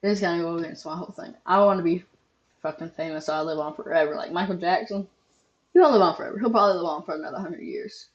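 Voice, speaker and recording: A young adult host chats loosely over a video, rambling, filling gaps with ums and tossing in casual swears. The voice sits in a middle pitch. The recording sounds dull and lo-fi. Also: quick pace. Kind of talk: casual reaction commentary.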